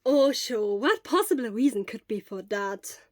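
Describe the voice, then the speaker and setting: Lady doing a loud upbeat teen boy, loyal hero type, high and emphatic, audio story. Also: expressive, a little slow, dry recording, studio quality. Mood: playful. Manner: exuberant, earnest, emphatic.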